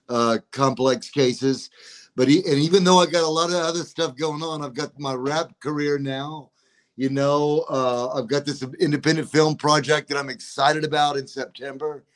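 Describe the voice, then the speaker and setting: A man of around sixty, a guest on a podcast, talking in a courteous, measured, slightly formal way. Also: mid-range voice. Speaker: guest, attorney and rapper. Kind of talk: casual interview talk.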